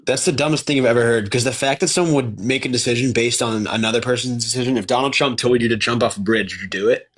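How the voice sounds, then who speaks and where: A man's voice: medium pitch, quick pace, few pauses. An eighteen-year-old man arguing casually in a live debate, informal and defensive.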